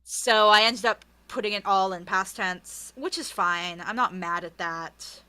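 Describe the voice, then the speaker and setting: A woman author being interviewed, chatting casually in an animated, self-deprecating, sweary way. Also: medium pitch, a little slow, clear, resonant tone.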